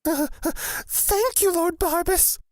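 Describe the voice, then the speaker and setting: A man's voice: very high-pitched, slow pace, dry recording, clean studio sound. A man playing an anxious, earnest young male blood witch in a fantasy audio drama. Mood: nervous, eager.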